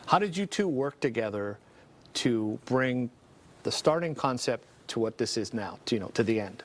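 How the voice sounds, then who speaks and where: Male news host, native Spanish speaker, mid-pitched voice, for studio intros and interview questions. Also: lively intonation, moderate pace, slight background noise.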